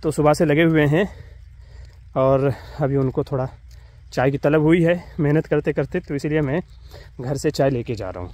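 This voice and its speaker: Mellow-voiced young man vlogging outdoors. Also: fairly high pitch, moderate pace. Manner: polite, addresses viewers directly. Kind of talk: casual vlog narration. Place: outdoors in the village. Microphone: phone at arm's length, selfie view.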